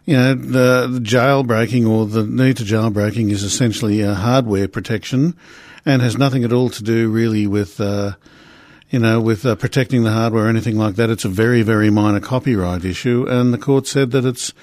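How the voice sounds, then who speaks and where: Laid-back radio co-host, punning. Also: male voice, lowish voice, steady tone, brisk, darkish tone, no echo. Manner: dry punning humor, laid-back opinions. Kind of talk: casual tech radio chat.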